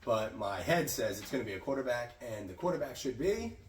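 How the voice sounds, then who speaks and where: A man hosting a casual sports chat, raspy and hoarse from a cold, chatty and prone to restarts. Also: medium pitch, big pitch swings, a little fast, echoey room, distant mic, slight background noise. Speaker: host.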